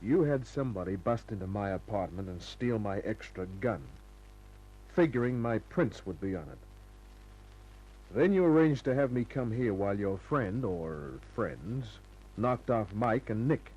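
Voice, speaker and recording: An actor playing a hard-boiled, cynical private detective in a 1950s radio crime drama, tough and clipped in delivery. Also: male voice, lowish voice, lively intonation, a little slow, a little mellow, a little hiss or hum, poor audio. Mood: tough, pressing.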